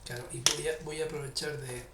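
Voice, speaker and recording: A man with a Chilean Spanish accent teaching English grammar in a live online class, patiently repeating English example sentences slowly. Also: fairly high pitch, fairly even intonation, echoey room, far from the mic, very noisy.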